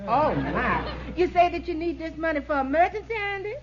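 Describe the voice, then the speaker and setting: High, swooping widowed bride-to-be. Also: female voice, sing-song, fairly quick, a crowd in the background. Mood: dismayed. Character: gushing, coy middle-aged bride-to-be, a large widow. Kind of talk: 1950s radio sitcom acting. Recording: muffled, noisy vintage radio broadcast.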